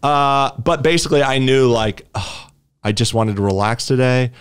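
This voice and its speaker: A man's voice: mid-range voice, normal speed, clean tone. A man co-hosting a casual podcast, talking emphatically and defiantly, rambling with frequent restarts. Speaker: podcast co-host.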